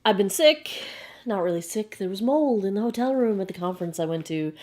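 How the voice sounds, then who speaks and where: A young woman with a hoarse, croaky voice, chatting casually as she unboxes beauty products to camera. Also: medium pitch, animated, darkish tone. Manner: chatty, bubbly, quick asides. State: hoarse, frog in throat. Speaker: beauty vlogger.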